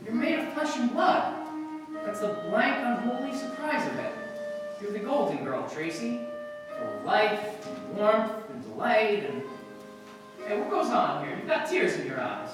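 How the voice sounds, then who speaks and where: A man's voice: high voice, very animated, measured pace. Man acting an ardent romantic in an echoey hall. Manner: ardent, florid, theatrical. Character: infatuated, poetic, prickly male writer, tipsy. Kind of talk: old-time radio play acting, performed live. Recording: roomy live stage, distant mic, music behind.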